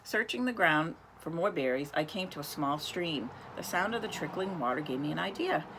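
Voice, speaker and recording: Woman reader, deep voice, expressive storytelling, picture book, faint background hiss. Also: moderate pace. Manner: expressive, speaks to young listeners. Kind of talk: reading a picture book aloud.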